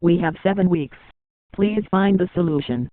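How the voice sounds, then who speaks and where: A man's voice: high-pitched, normal speed, slight background noise, lightly acted. A man playing an urgent survivor broadcasting a plea in an emergency drama. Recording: dull lo-fi transmission.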